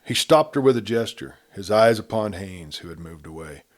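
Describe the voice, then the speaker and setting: Man, storyteller, measured quiet narration, lowish voice, Western novel reading. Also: lively intonation.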